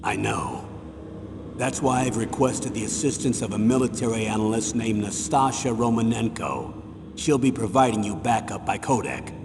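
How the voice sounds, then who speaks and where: Game commander, older, stern and measured, lowish voice, echoing hall. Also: male voice, expressive. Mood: businesslike. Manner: deliberate, grave, authoritative. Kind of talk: dramatic mission briefing in a video game. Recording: reverberant, noisy game audio clip.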